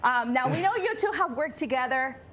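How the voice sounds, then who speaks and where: A woman hosting a TV interview, bubbly and affirming, with quick back-channels. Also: high voice, lively intonation, very noisy. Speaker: TV host. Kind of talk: interview questions. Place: TV studio.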